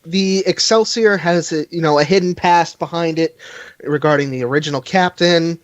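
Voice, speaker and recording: A man chatting casually as a podcast host, rambling and halting, with frequent hesitations. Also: a little high, lo-fi sound. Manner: halting, rambling, chuckles often. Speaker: live stream host. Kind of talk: casual fan podcast chat.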